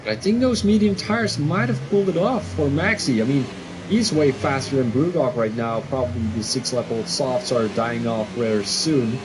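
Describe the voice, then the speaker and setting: Excitable Belgian man commentating a race. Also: medium pitch, noisy background. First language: Dutch. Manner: excitable, quick to second-guess his own calls. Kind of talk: live sim racing commentary.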